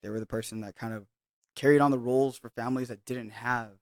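A man's voice: mid-range voice, fairly even intonation, fast talker, dry recording, clean studio sound. A young man from Hawaii, a guest telling his story reflectively in casual podcast chat, with many fillers.

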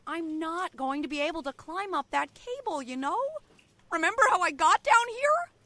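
Lady acting as grumpy zombie apocalypse survivor, snappy, animated, dry close sound. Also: very high-pitched, normal speed, no echo, exaggerated voice. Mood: panicked. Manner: snappy, sarcastic. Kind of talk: audio drama acting.

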